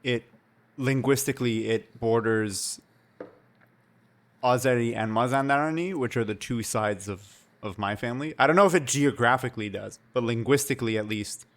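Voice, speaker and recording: A young man from Canada co-hosting a podcast, with a medium-pitched voice. He speaks reflectively and pauses often to find his words, in relaxed casual chat. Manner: thoughtful, reflective, hesitant. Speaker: podcast co-host.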